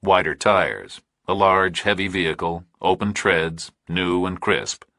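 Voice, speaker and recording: A man reading a scripted thriller audiobook with measured, clipped, dramatic phrasing. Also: sits low, bright, forceful tone, dry recording, clean studio sound. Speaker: audiobook narrator.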